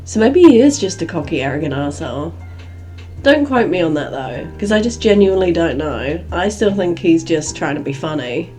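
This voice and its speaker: A woman hosting a solo podcast, chatty and rambling, her voice gravelly and croaky from a cold. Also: fairly low pitch, big pitch swings, normal speed, dark tone, faint music behind. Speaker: podcast host.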